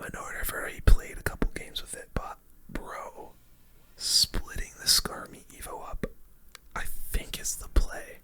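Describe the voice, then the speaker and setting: Whispering young man, ASMR gamer. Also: sharp tone. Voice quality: breathy, unvoiced whisper. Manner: casual, slangy, reacting to play. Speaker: gaming video host. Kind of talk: whispered ASMR game commentary.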